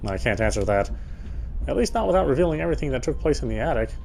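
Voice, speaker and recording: A man's voice: medium pitch, sing-song, very fast, creaky, a little mellow, slight background noise. A man reading visual novel narration aloud expressively, with casual asides.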